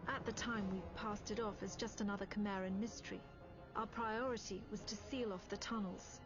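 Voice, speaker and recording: Actress as British female military officer, game narrator: composed, grave and measured, slightly muffled audio. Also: mid-range voice, steady tone, lightly acted. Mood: measured, serious. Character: composed British female intelligence officer recounting a grim war. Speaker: story narrator. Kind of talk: scripted dramatic narration. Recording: dull lo-fi game audio, music behind. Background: loud background music.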